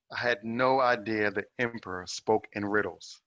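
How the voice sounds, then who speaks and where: Guarded young newcomer to ancient Rome, acted by a man: earnest, unhurried, dry and slightly muffled sound, historical drama. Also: on the low side, fairly bright tone, no echo. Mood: guarded, questioning. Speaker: actor at a table read. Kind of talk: dramatic table-read acting.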